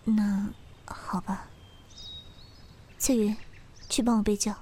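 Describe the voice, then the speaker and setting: A woman playing a gentle, polite young married lady of a wealthy family in a Chinese period audio drama. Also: mid-range voice, little pitch movement, takes it slowly, slight background noise, close to an everyday voice. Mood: soft, subdued.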